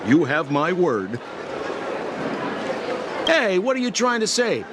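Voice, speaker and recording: Slippery, theatrical con man, very lively. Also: high-pitched, slow pace, a crowd in the background, noisy background, exaggerated voice. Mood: glib, wheedling. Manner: glib, boastful, flamboyant salesman patter. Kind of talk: video game cutscene acting.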